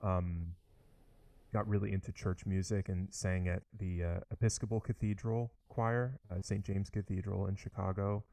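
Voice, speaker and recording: A man's voice: deep voice, little pitch movement, moderate pace, warm tone, no room sound. A young man, a trombonist, chatting eagerly in an interview, with lots of likes and restarts. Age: young adult. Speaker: orchestral trombonist, interview guest. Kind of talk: casual interview conversation.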